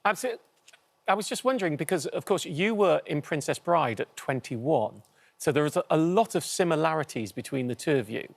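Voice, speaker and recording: A man with a Mancunian accent softened by years in London, co-hosting an interview and often restarting his sentences. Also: a little high, animated, fairly quick, creaky. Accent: northern English, Manchester with London mix. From Manchester, England. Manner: hesitant, with stammered restarts. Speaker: podcast co-host. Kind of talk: casual interview chat.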